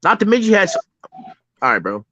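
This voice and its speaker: A young man in his twenties argues over a muffled, lo-fi video call line in a high voice. His tone is accusatory, and nearly every phrase ends in "bro". The sound is dry with no room echo. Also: wildly swooping pitch, quick pace, pushed and bright. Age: 20s. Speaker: panelist. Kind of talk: heated online panel argument.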